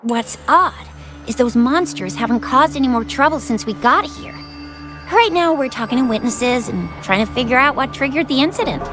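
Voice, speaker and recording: An eager young female patrol officer from a video game, with a very high voice. She is friendly, dutiful and brisk, and talks expressively over clear music. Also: expressive. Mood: businesslike, puzzled.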